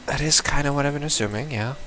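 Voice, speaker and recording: A man's voice: fairly high pitch, quick pace. A man playing through a visual novel, reading it aloud and commenting casually with sardonic, blunt reactions. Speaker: let's player. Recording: music behind the voice.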